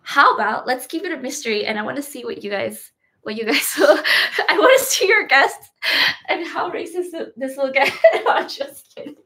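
Teasing young woman with a gravelly edge. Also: sits high, lively intonation, moderate pace, pushed and bright. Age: young adult. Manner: teasing, laughs often. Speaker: vlogger. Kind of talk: casual chat. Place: bedroom, indoors.

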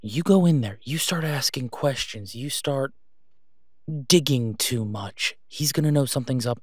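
An exasperated, protective masked vigilante, acted by a man in an audio drama. His mid-pitched male voice is urgent and emphatic, pausing to press each point as he pleads down the phone. The recording is close and dry. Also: dry recording, lightly acted. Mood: exasperated, warning. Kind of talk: one-sided phone-call audio roleplay.

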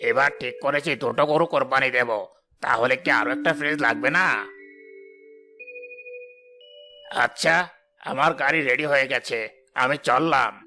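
A man acts out a boastful village headman in a cartoon. His voice is bright and forceful, with a pompous, bossy manner that shows off greed and self-importance. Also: mid-range voice, a little fast, soft background music. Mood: smug explaining. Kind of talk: Bengali cartoon dubbing.

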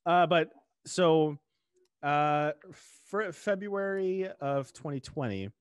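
Young man, podcast host, animated, casual chat, dry close mic. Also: a little high, slow pace, clean tone, no room sound. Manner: animated, talks with his hands. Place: home studio room. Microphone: studio mic on boom arm, headphones.

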